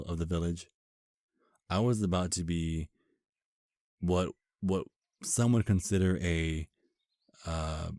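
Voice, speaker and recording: A man voicing a doting, cocky, centuries-old vampire husband in an intimate ASMR romance roleplay, speaking in halting, drowsy pillow talk. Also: very deep voice, darkish tone, no echo, clean studio sound.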